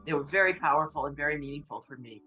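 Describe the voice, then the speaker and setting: A woman photographer from New Hampshire speaking candidly, a little halting, about her experience. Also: low-pitched, pushed and bright, faint music behind, poor audio. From New Hampshire, USA. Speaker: interviewee, photographer. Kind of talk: reflective testimony.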